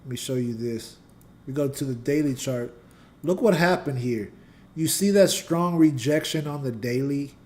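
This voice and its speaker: A man's voice: medium pitch, normal speed, a little mellow. A man hosting a trading channel, walking viewers through price charts in a loose, conversational way and talking to the chat, his voice going a little scratchy. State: voice getting scratchy. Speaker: trading channel host and teacher. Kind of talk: casual live chart analysis.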